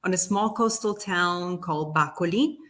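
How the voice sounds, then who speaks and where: A woman's voice: lowish voice, normal speed, fairly bright tone. A woman from southern Italy with an Italian accent, a native speaker of Italian and Neapolitan, telling her personal story as an interview guest in articulate, formal phrasing. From southern Italy, near Naples.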